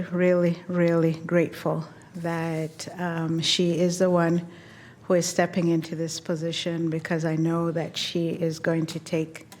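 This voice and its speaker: A woman director speaks at a public meeting in a sincere, measured way. Her voice is low and stays flat, with little rise or fall. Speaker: outgoing agency director.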